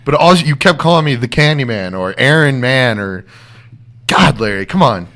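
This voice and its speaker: A man, a professional wrestler, talking as an interview guest in a rambling, halting way with frequent laughs. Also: medium pitch, lively intonation, a bit bright. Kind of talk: casual interview conversation.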